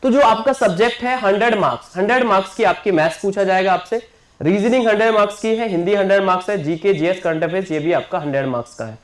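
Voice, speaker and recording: High-voiced coaching teacher. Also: male voice, fast. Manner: repetitive, keeps checking in with listeners. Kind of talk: promotional class announcement.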